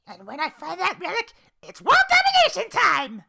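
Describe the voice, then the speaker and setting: Shrill, creaky, bratty villain princess. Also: wildly swooping pitch, moderate pace, bright, forceful tone, exaggerated voice. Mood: vengeful, gloating. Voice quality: shrill, gravelly edge. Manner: theatrical, declaiming in verse. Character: bratty, power-hungry young exiled princess vowing world domination.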